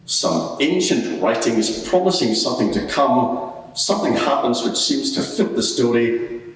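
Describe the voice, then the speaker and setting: A man playing a scornful Roman procurator, arguing in formal, rhetorical court style in a large echoing room. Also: lowish voice. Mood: sceptical, probing. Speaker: advocate in a mock court inquiry. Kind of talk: courtroom cross-examination and closing submission. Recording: very echoey hall, far from the mic.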